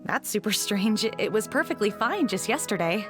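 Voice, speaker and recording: A woman's voice: mid-range voice, lively intonation, moderate pace, over music, natural voice. A woman voicing a helpful young crew assistant in a video game, plain and cooperative. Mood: worried. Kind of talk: acted video game dialogue.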